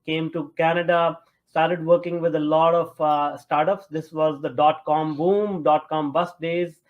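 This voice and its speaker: A man from Punjab with a North Indian accent, answering as an interview guest in a halting, conversational way with many fillers. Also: fairly high pitch, fairly even intonation, phone-quality sound. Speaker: interview guest, tech entrepreneur.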